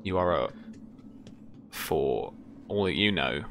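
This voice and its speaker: Deep, gravelly young man running a game. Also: animated, slow pace, a bit bright, slight background noise. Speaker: game master. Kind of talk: casual tabletop game narration and rulings. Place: indoor room at home, video call.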